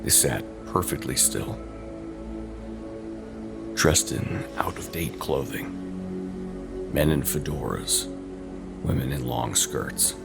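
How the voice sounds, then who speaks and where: A man narrating a scripted first-person horror story in a slow, suspenseful, deliberate and dramatic way, with a gravelly voice. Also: lively intonation. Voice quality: very deep, gravelly. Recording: loud ambient music bed under voice.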